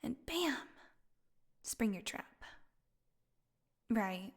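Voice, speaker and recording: An actress voices a captive vampire woman in an audio roleplay, her voice fairly high and very unhurried. She is starved, flirty and sardonic, and her teasing lines often sink toward a breathy whisper. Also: expressive, exaggerated voice. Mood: bitter, sarcastic. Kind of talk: audio roleplay acting, intimate one-sided dialogue.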